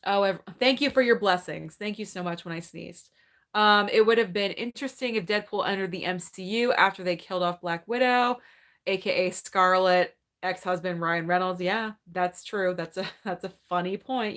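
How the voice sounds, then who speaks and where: A woman's voice: medium pitch, fairly bright tone. A woman in her thirties hosting a casual live movie Q&A at home, chatty and upbeat, reading viewer comments and thinking aloud. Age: 30s. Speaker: movie channel host.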